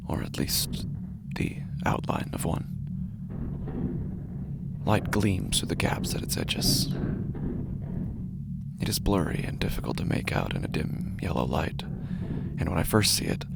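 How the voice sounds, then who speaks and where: An actor playing an anxious, obsessive man narrating his sleepless nightmares in a halting horror audio monologue. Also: low-pitched, creaky, heavy background noise, exaggerated voice. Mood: dreamy dread. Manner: halting, hushed, often breaking into whispers. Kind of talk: first-person horror audio fiction monologue.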